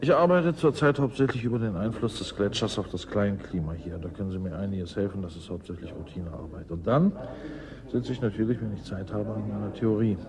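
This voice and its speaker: An actor playing a weary, wry climate scientist and mentor in a radio play, conversational and unhurried. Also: male voice, fairly low pitch, crowd noise, lightly acted. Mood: wry, matter-of-fact. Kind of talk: German radio play dialogue. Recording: radio play with clear background noise.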